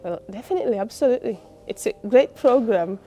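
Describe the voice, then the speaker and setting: A woman's voice: a little high, animated, fast, over loud music. A woman with a Tanzanian accent, a postgraduate student giving an informal interview in an explanatory, conversational way.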